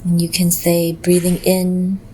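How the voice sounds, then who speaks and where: A woman with a fairly low voice guides a meditation very slowly. Her delivery is gentle and measured, with long pauses between phrases. There is clear background noise behind her. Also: completely flat delivery.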